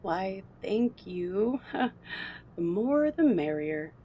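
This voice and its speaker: A woman playing a suave, smug criminal mastermind with a husky voice in an audio drama. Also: mid-range voice, sing-song, very slow, warm tone, a little hiss or hum, heavily acted. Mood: smooth, pleased. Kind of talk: audio drama villain acting.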